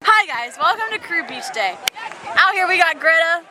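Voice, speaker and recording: A woman's voice: extremely high pitch, big pitch swings, moderate pace, a crowd in the background, noisy background. A young woman in her late teens, playful and giggly, hosting a casual vlog with friends. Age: young adult. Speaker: vlogger.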